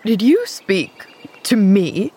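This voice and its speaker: Middle-aged storybook gentleman, played by a woman: curt, grunting, sharp and standoffish. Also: a little high, very animated, unhurried, heavily acted. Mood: gruff, startled. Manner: gruff, grunting, abrupt. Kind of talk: storybook character voice.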